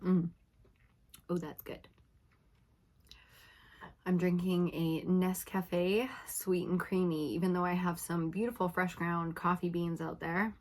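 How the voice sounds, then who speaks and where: A woman reading tarot for her viewers in a casual, chatty way, her voice slightly raspy from hours of talking, often checking in with "okay?". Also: fairly low pitch, flat delivery, takes it slowly, pauses often, darkish tone. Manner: chatty, laughing, uses filler like "okay?". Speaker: tarot reader.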